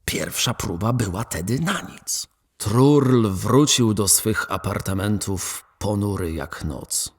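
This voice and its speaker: A man narrating a radio play in an expressive, animated storytelling way. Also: on the low side, slow, slightly rough. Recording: faint background music.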